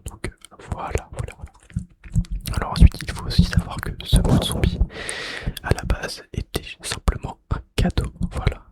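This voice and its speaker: A man speaking in a breathy, unvoiced whisper close to the mic, sharing gaming trivia ASMR-style and softly repeating key phrases. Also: moderate pace. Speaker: ASMR video creator. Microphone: close microphone.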